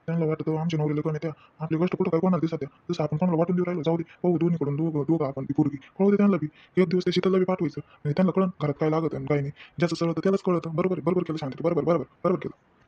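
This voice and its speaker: A man plays a blunt village husband and father with a husky, fairly high voice. He rattles his lines out extremely fast in a rural Maharashtrian accent, stubborn and repetitive, as in a family drama story. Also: fairly even intonation, dull audio, natural voice. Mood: agreeing. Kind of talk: acted family story dialogue.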